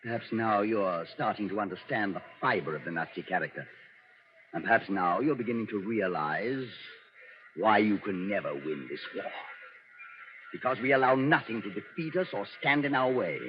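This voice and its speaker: A man's voice: on the low side, expressive, normal speed, lightly acted. Man acting an arrogant Nazi colonel, muffled old radio. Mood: stern. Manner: pompous, condescending, formal. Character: cold, arrogant Nazi colonel, ruthless and domineering. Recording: muffled, noisy vintage radio broadcast.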